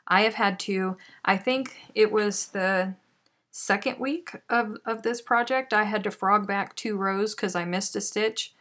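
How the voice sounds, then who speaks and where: A woman's voice: mid-range voice, steady tone, measured pace, fairly bright tone. A woman hosting a live crochet stream, chatting casually and self-deprecatingly as she reads out viewer comments.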